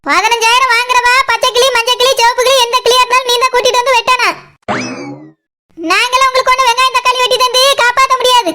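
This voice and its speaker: A woman's voice: very high-pitched, steady tone, quick pace, bright, forceful tone. A woman voicing a bossy, sarcastic, teasing cartoon boss who scolds in colloquial Kanyakumari Tamil. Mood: mocking, scolding. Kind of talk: comic cartoon dialogue.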